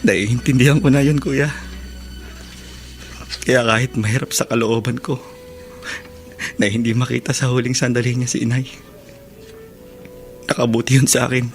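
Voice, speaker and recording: A man's voice: mid-range voice, fairly even intonation, moderate pace, pauses often, close to an everyday voice. Man acting earnest young Filipino son, remorseful. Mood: remorseful, grateful. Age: young adult. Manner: earnest, emotional delivery. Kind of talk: Tagalog radio drama acting. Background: background music.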